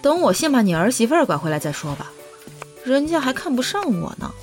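A woman's voice: high voice, very animated, fast talker, music in the background. A woman playing a playful, cheeky young adult who is devoted and tender, in a modern Chinese audio drama. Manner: playful, coaxing.

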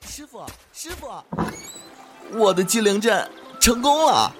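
A man's voice: sits high, very animated, takes it slowly, over music, exaggerated voice. A man voicing a cheeky, cheerful young talisman apprentice who talks in a playful, teasing way in an amateur audio drama. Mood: eager.

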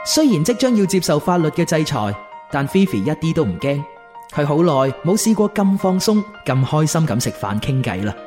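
A woman's voice: low voice, big pitch swings, moderate pace, a little mellow. A woman with a Hong Kong Cantonese accent reading scripted narration for a radio drama. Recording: music behind the narration. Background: background music.

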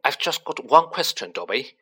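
A narrator voicing a plucky schoolboy from a storybook. He sounds earnest and modest, with a bright tone and lively pitch. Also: moderate pace, breathy edge, full character voice. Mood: casual.

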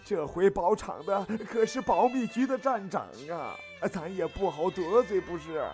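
A man's voice: sits high, moderate pace, over music, lightly acted. A man playing a middle-aged, fawning opera troupe boss who wheedles and exclaims in a radio drama. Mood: pleading. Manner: obsequious, pleading, exclamatory.

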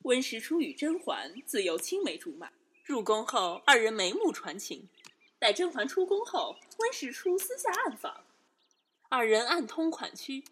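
A woman's voice: on the high side, big pitch swings, moderate pace, a bit bright, breathy edge, exaggerated voice. A woman playing a spiteful young imperial concubine in a Chinese period audio drama, scornful and emphatic. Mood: scornful accusation.

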